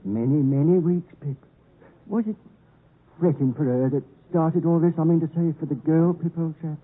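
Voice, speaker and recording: An actor playing a kindly, humble English country blacksmith, gentle, loyal and halting, in a 1950s radio drama. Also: male voice, fairly high pitch, breathy edge. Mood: tender, soothing. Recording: muffled, tinny vintage 1950s radio.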